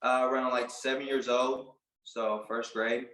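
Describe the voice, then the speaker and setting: A man in his late twenties from Puerto Rico, a barber telling his story in a casual interview, relaxed and halting with many fillers. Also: medium pitch, reverberant, poor audio. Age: late 20s. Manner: relaxed, halting, lots of "like" and restarts. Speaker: barber and business owner, guest.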